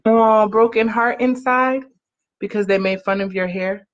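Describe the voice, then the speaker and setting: A woman in her thirties, a mother reading and chatting for a children's story time in an animated, encouraging way, recorded on a webcam in a living room. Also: mid-range voice. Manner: animated, encouraging call-and-repeat delivery with drawn-out phrases. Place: small living room, on a couch. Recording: slightly dull home webcam audio.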